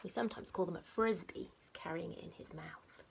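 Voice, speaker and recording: A woman reading a picture book aloud to young children like a teacher, expressively, with dramatic pauses and stressed rhymes and sound words. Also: fairly low pitch, vocal fry, heavy background noise. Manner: simple explanatory asides addressed to young children. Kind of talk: animated storybook read-aloud.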